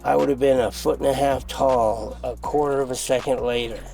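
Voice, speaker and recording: A man telling a rambling, animated story in a street interview. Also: medium pitch, moderate pace, gravelly, recorded from a distance, music in the background.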